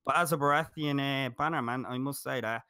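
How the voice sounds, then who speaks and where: A man's voice: mid-range voice, brisk, bright, forceful tone, clean tone, no echo. Joking Irish man, dry close sound. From Ireland. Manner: joking, emphatic banter. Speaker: podcast guest. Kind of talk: casual chat.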